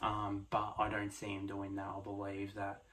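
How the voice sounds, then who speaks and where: Quiet-voiced young man with hesitant, halting talk. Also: lowish voice, completely flat delivery. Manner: hesitant, many fillers and restarts; speaking quietly late at night. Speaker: F1 prediction vlogger. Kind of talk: casual solo commentary.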